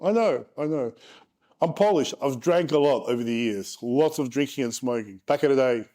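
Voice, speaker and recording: A man's voice: medium pitch, lively intonation, normal speed, mellow. A man in his forties with a frank manner and joking asides, casually presenting charts as a property investment presenter. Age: 40s.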